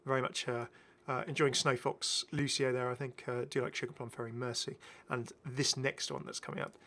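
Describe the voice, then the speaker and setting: A man's voice: mid-range voice, little pitch movement, a little fast, fairly bright tone. Chatty, enthusiastic man. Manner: chatty, enthusiastic, repeats phrases. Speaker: gaming video host. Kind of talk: casual game skin showcase commentary.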